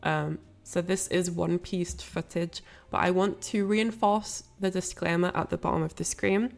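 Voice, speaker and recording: Lowish voiced streamer girl, lots of vocal fry, stuffy with a cold, rambly and apologetic chatting to viewers. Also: fairly even intonation, a little slow. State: ill with a cold, sniffly, coughing. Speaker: livestream host. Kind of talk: casual livestream chat with viewers.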